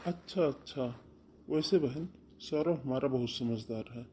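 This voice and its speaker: A man's voice: medium pitch, unhurried, dark tone, slight background noise, close to an everyday voice. A man voicing a kindly middle-aged brother in a cartoon who reassures his sister soothingly. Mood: reassuring. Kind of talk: cartoon voice acting.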